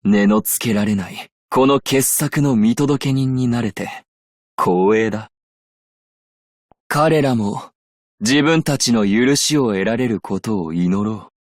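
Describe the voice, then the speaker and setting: A man playing a composed, shrewd and dignified desert merchant in a Japanese game, speaking in a measured, formal way. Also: lowish voice, dry recording, clean studio sound. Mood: solemn, cool. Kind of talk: Japanese game character voice lines.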